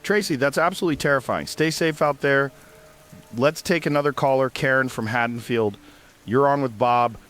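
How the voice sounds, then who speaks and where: A man playing a somber, shaken late-night radio call-in host, speaking gravely and earnestly. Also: mid-range voice, normal speed, a bit bright, soft background music, close to an everyday voice. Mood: concerned.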